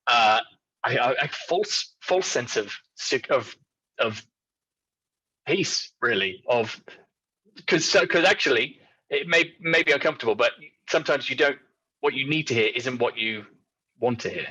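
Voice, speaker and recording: A man's voice: medium pitch, lively intonation, measured pace, pauses often, bright, forceful tone, slightly rough, highs cut off. A man co-hosting a podcast, with a nasal voice, talking things through earnestly. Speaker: podcast co-host. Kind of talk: casual chat.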